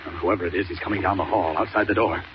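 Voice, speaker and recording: Low-voiced male superhero male actor, dramatic self-narration, old radio acting, muffled hissy sound. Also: steady tone, rapid-fire, lightly acted. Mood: tense, hushed. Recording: noisy, muffled 1940s radio recording.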